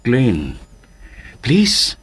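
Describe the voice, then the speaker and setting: Swooping, flustered Filipino suitor. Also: male voice, medium pitch, huge pitch swings, extremely slow, fairly bright tone, full character voice. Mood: pleading, dejected. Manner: hesitant, stammering, nervous throat-clearing and chuckles. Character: bashful, pleading middle-aged suitor, persistent and easily flustered. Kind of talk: radio drama acting, melodramatic.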